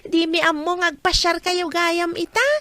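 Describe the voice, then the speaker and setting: A woman's voice: extremely high pitch, little pitch movement, a little slow, pushed and bright, dry recording, exaggerated voice. A woman playing a meek young schoolgirl in a radio drama, speaking with an Ilocano accent in a plaintive, childlike way. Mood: excited. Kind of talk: Filipino radio drama acting.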